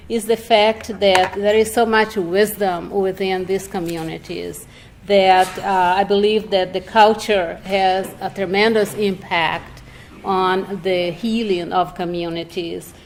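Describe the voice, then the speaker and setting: A woman from Brazil, speaking in a measured, earnest way as a reflective panelist at an indoor public event. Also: mid-range voice, darkish tone. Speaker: panel speaker, state health agency worker. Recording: clearly noisy room ambience.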